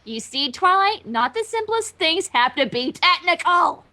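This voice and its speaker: A woman with a high, bright voice jumps in on a comic story reading. She is excitable and dramatic, playing along with lively, animated pitch. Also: unhurried. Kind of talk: playful in-character commentary on a story reading.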